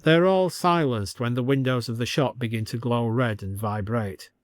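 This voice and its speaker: A man reading a scripted story as an expressive storyteller with dry comic delivery. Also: mid-range voice, moderate pace, no room sound.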